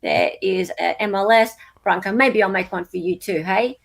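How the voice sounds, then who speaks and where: A woman's voice: medium pitch, normal speed, creaky. A woman with an Australian accent hosting a casual livestream, chatting to viewers in an effusive, grateful and encouraging way. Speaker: livestream host. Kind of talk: casual live chat with viewers.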